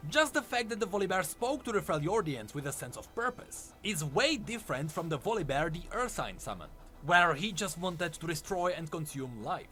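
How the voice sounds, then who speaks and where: Animated male lore narrator, higher-pitched voice. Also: normal speed, few pauses. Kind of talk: scripted lore storytelling narration. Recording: music under the narration. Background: background music.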